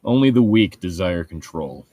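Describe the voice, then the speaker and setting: Low-voiced man, close and dry. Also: very animated, normal speed, warm tone, dry recording. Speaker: co-host of a book read-along show. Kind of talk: casual banter mixed with reading a novel aloud.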